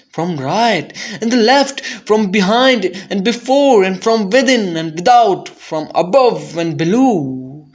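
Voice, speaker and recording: A man giving a dramatic, deliberate recital of a narrative poem, with theatrical intensity. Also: sits high, highs cut off. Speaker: poetry reader.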